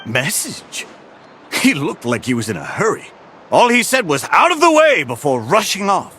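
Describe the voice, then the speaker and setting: An actor playing an anxious young knight messenger, reporting in a hurried, breathless way in a video game. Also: male voice, high-pitched, huge pitch swings, pushed and bright, husky, exaggerated voice. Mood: urgent.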